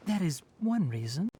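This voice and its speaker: A woman voicing a young male forest ranger in a video game, knowledgeable and practical, with a measured, instructive, gently chiding manner. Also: on the low side, a little hiss or hum. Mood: dry, practical. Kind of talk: video game character acting.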